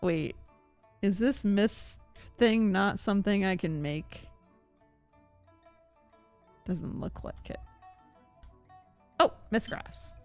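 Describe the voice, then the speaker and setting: A woman's voice: medium pitch, very slow, long pauses. A woman chatting casually and thinking aloud as she livestreams a game. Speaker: game streamer. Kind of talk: casual livestream gaming chat. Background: game music.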